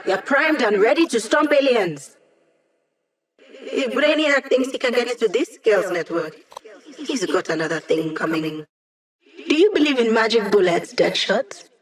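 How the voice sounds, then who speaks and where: Upbeat, wisecracking young hacker. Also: female voice, fairly high pitch, lively intonation, a little slow, low-quality recording. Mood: playful, teasing. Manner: casual, playful, teasing. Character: upbeat, wisecracking young woman hacker aiding villain squad. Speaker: voice actor. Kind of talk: video game voice lines.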